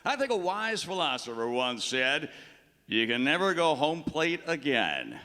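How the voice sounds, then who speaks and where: An actor playing a folksy, sentimental older baseball announcer in a live radio play. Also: male voice, a little high, big pitch swings, measured pace, sharp tone, exaggerated voice. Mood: folksy, philosophical. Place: town hall stage before live audience. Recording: live stage show in large reverberant hall.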